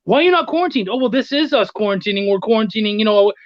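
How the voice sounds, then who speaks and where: A middle-aged man with a distinctly high voice chats casually as a guest, telling anecdotes. He is blunt and swears freely. The sound is dull and lo-fi, with very little room. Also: lively intonation, racing, no echo. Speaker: interview guest, freelance writer.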